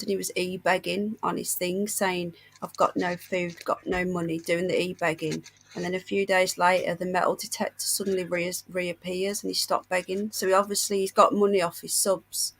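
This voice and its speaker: A woman with a mid-pitched voice hosts a casual livestream. She talks as if passing on outrageous gossip, with indignation running through it. She is unwell with a sore chest as she talks. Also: flat delivery, moderate pace, fairly bright tone, slightly rough, poor audio. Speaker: livestream host.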